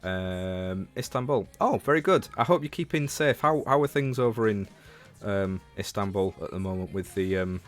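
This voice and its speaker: A man's voice: fairly low pitch, lively intonation, normal speed, hardly any pauses, soft background music. A man from Leeds with a Northern English accent, chatting casually about games as a livestream host and talking to viewers. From Leeds, England.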